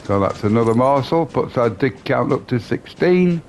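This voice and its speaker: A man's voice: mid-range voice, animated, moderate pace, slight background noise. A man hosting a gaming channel, giving walkthrough commentary over a video game.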